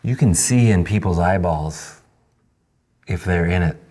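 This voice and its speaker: A man in his early fifties hosting a casual interview, informal and blunt, with halting phrasing. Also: low-pitched, dark tone. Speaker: podcast host.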